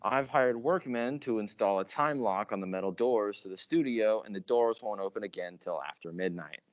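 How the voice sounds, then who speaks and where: A low, dry, close male voice, a young actor's, full of pompous self-importance. He is a radio show boss obsessed with his big scoop, proclaiming everything theatrically. The recording is dull and lo-fi. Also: normal speed, dry recording, natural voice. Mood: exasperated. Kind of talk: high school stage radio-play acting.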